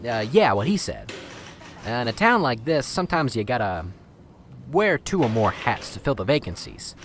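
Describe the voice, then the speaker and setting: A man's voice: mid-range voice, sing-song, moderate pace, a little hiss or hum. A man voicing a chatty, wisecracking police lab technician in an amateur radio drama. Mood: wry.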